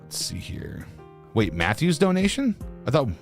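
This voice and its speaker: A man's voice: medium pitch, huge pitch swings, moderate pace, vocal fry, faint music behind. A man from Ohio co-hosting a casual livestream, reading viewer comments aloud in an easygoing way with lots of fillers. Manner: easygoing, reads chat aloud with frequent "let's see here" and fillers. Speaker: co-host of a reaction channel livestream.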